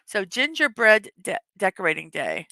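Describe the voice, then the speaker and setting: A middle-aged woman chats through a casual morning livestream, greeting viewers and reading their messages out loud. Her voice has a bright, clear edge that has worn a little hoarse, and the sound is dry and close. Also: medium pitch, huge pitch swings, moderate pace, no echo. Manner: chatty, reads chat messages aloud, laughs often. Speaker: livestream host.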